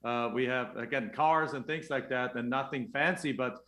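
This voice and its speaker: A man from Germany talks casually as a podcast guest, lively and quick to laugh. His voice is mid-pitched and carries a noticeable echo from the room. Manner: lively, laughs while talking. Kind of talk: casual interview talk. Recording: roomy echo.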